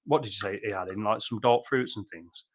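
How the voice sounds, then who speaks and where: A man's voice: lowish voice, a little fast. An English man in his fifties hosting a casual, chatty conversation over drinks on a video call. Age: 50s. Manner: chatty, informal. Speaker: host of a chat show. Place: small bar room, indoors.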